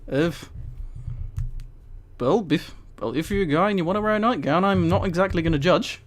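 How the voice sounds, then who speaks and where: A man streams a casual read-aloud through a headset mic. His voice sits a little high for a man. He rambles into amused asides as he goes, chatting informally to his viewers. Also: lively intonation, normal speed. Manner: amused, giggly, rambling commentary. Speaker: streamer reading a book aloud to live chat.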